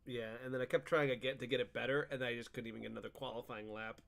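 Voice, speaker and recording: A man's voice: medium pitch, steady tone, very fast, gravelly, fairly bright tone. A man co-hosting a gaming show, chatting casually with his fellow hosts. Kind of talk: casual chat.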